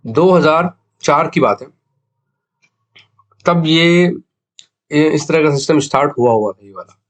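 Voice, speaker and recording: A man's voice: on the high side, a little slow. Indian man with a sore throat, explaining casually. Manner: conversational Hindi-English code-mixing, explanatory. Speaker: video host, cricket betting tipster.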